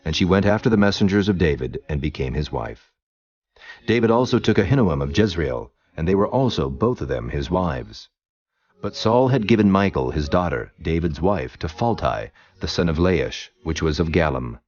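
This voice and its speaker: A man giving scripted Bible narration as a solemn, measured storyteller. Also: deep voice, sing-song, darkish tone, muffled recording.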